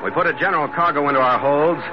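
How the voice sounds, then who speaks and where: An actor playing a laconic, steady sea captain narrating his adventures in 1940s radio drama, clipped and matter-of-fact. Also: on the high side, racing, natural voice. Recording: muffled old radio recording, music behind.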